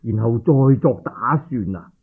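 A man's voice: medium pitch, a little slow, slightly rough, phone-quality sound, voice pushed down. A male Cantonese storyteller voicing a cautious, respectful army deputy in a radio tale, speaking carefully. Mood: apologetic, careful. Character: cautious, deferential male army deputy reporting to his commander.

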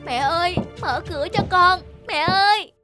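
A woman voicing a gentle, obedient, mistreated young girl in a children's cartoon, polite and pleading. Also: extremely high pitch, normal speed, over music.

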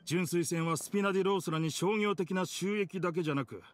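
An actor playing a rough-spoken, loyal young gentleman in a Japanese video game, blunt and emotive. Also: sits high, fast talker, natural voice. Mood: serious explaining. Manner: emotive, blunt casual speech.

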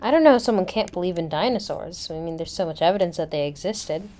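A woman's voice: on the low side, expressive, quick pace, a little mellow, lightly acted. A woman playing a helpful school teacher in a casual improvised game roleplay. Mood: explaining. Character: attentive school teacher and lunch server at private school. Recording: noisy home mic with room ambience.

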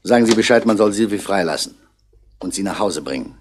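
An actor playing a composed police commissioner who questions tersely and courteously in a 1960s radio play. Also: male voice, low-pitched, expressive, fast talker, a little hiss or hum. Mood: brisk, thoughtful.

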